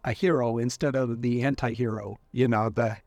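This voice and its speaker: A man conducting a casual, conversational interview, putting his questions in a tentative, halting way. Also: mid-range voice, steady tone, darkish tone, no room sound.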